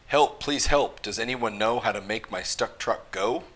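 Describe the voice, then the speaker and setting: A man's voice: fairly low pitch, normal speed, a bit bright. A man reading a rhyming picture book aloud to children with an emphatic, rhythmic delivery. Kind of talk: animated children's story read-aloud.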